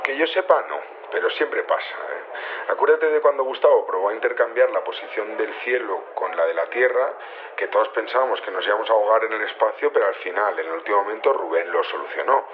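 An actor playing a nonchalant security chief on the telephone in a radio drama, talking colloquially and a little hesitantly. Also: male voice, lowish voice, lively intonation, fairly quick, a little hiss or hum. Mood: offhand, unbothered. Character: casual, unbothered male security chief phoning a report. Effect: telephone filter. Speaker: head of security. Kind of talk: phone interview in a radio drama. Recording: thin, narrow phone line.

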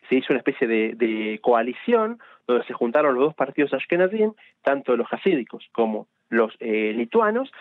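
A man from Buenos Aires with an Argentine accent, giving explanatory interview answers in a halting way, repeating words as he builds his point. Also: mid-range voice, no echo. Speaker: interview guest, rabbi and journalist. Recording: dull, lo-fi radio line.

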